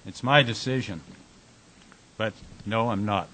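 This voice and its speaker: A gruff, husky-voiced bar owner, acted by a man for a radio play. He is fed up and keeps order with short, blunt commands. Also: on the low side, a little slow, bright, forceful tone. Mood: placating. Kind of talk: radio drama acting.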